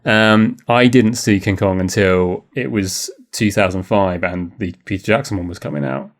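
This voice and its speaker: A man's voice: deep voice, moderate pace. A man co-hosting a podcast, talking in a casual, rambling, conversational way about films. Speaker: podcast co-host. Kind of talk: casual film discussion.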